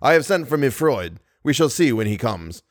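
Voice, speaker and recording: A man's voice: mid-range voice, sing-song, normal speed, dry recording, studio quality, close to an everyday voice. A man voicing an impatient, obstinate male acting manager.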